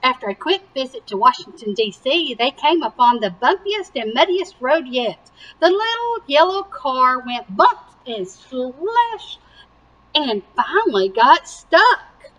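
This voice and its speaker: A woman reading a picture book aloud to young children with animated emphasis and simple explanatory asides. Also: sits high, a little slow, lo-fi sound. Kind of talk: slow, expressive children's read-aloud.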